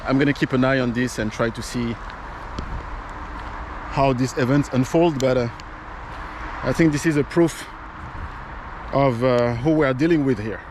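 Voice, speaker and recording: A man's voice: medium pitch, moderate pace, pauses often, noisy background. A man giving casual political commentary as host, with many fillers and restarts, speaking English as a non-native speaker. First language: not English. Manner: conversational, frequent fillers and restarts.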